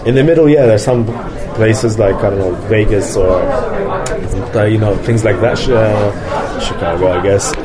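Director guy, kinda low voice, lots of ums and restarts, people chattering behind. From grew up in London. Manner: halting, many fillers and restarts. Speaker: film director. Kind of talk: festival interview answers. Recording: crowd noise behind.

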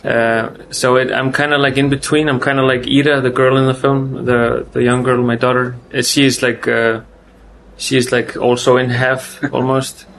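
A man from Iceland with an Icelandic accent, a film director giving festival interview answers in a halting way, searching for words and often restarting. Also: medium pitch, level tone, gravelly, a bit bright, slight background noise.

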